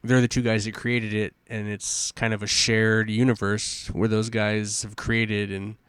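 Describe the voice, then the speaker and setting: A man's voice: fairly low pitch, flat delivery, measured pace, a bit bright, clean tone, no room sound. A man co-hosting a podcast, thinking aloud in loose, casual talk with some swearing. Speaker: podcast co-host.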